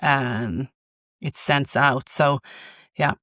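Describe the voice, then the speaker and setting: A woman giving halting, thoughtful answers as a guest in a casual interview. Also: rumbling low, fairly bright tone, no room sound, studio quality. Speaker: chief marketing officer, podcast guest.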